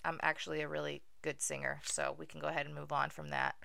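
Young woman podcast host, smooth but gravelly low voice, self-deprecating humor. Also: level tone, fast, sharp tone, dry recording. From Venezuela. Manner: wry, self-deprecating, with filler ums. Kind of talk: casual solo podcast monologue.

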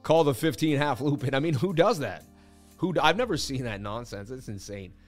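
Host: man, going hoarse, boastful, excitable trading streamer, faint music bed behind. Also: medium pitch, a little fast. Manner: hyped, boastful, laughing banter with chat. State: losing his voice. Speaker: crypto livestream host. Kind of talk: casual livestream market commentary.

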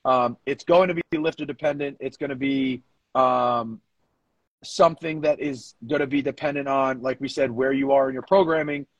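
A man's voice: medium pitch, fairly even intonation, moderate pace, no room sound, highs cut off. A man co-hosting a podcast in casual discussion, giving coaching opinions and repeating his points for emphasis.

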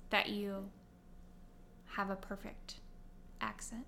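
A young adult woman from Kentucky and Ohio, co-hosting a podcast with animated, playful chat and quick laughter. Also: medium pitch, gravelly, a little hiss or hum. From Kentucky and Ohio, USA. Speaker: podcast co-host. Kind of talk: casual chat.

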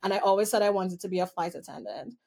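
A woman's voice: medium pitch, fast, gravelly, dark tone. A woman from Trinidad with a Trinidadian accent, a guest telling personal stories in an interview in a candid, amused way and drawing out key words.

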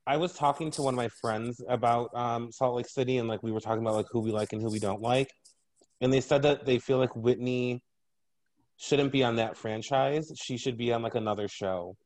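A woman's voice: rumbling low, a little fast, darkish tone. A woman chatting casually on a podcast, hesitant and full of fillers and restarts. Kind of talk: casual chat.

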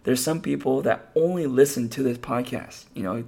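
A man with a hoarse, raspy voice, hosting a podcast and chatting casually on his own, rambling with lots of fillers and restarts. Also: mid-range voice, normal speed, dark tone. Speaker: podcast host. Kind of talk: casual solo podcast talk.

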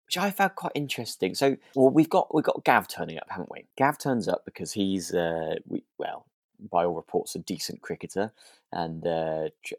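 A man with a very low voice talks casually as a podcast co-host. His phrasing is tentative and rambling, with frequent circling back. The sound is very dry and close, free of room echo. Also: lively intonation, moderate pace. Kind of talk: casual discussion of a radio drama.

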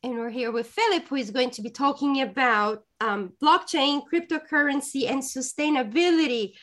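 A woman co-hosting a podcast, warmly introducing her guest in a friendly, hesitant way. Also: sits high, moderate pace. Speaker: podcast co-host. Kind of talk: interview introduction.

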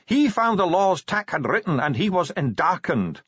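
A man voicing a high-pitched, solemn dwarf scholar. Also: very animated, a bit bright, husky, dry recording, dull audio, full character voice. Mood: solemn reciting. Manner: slow, declamatory, archaic phrasing. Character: scholarly dwarf translator, earnest, solemn reciter of ancient lore.

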